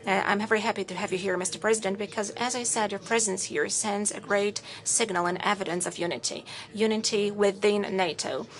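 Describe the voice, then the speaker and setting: A woman interpreting a formal state speech into English with an even, measured delivery and occasional hesitations. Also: medium pitch.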